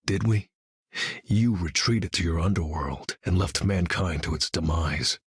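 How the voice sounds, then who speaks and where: A very husky, brooding dark vigilante. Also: male voice, low-pitched, a little slow, dry recording, full character voice. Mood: somber. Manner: brooding, dry, deadpan. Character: grim, battle-hardened male vigilante leader with keen hearing. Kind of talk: video game character voice lines.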